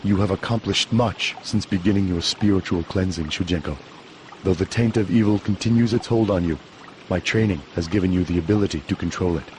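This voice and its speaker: Very deep solemn shaman warrior, a man acting. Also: noisy background, lightly acted. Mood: solemn approval. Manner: grave, measured, formal. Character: solemn male shaman warrior, stern guardian of sacred ground. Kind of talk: fighting game cutscene acting.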